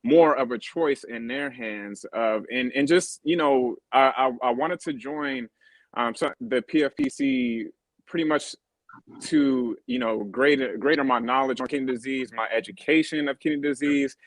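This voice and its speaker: Man, 30s, interview guest; halting, filler-heavy delivery, dry close sound. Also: mid-range voice, no room sound, rough recording. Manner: halting, many fillers and restarts. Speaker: guest, kidney advocate. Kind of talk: casual interview talk.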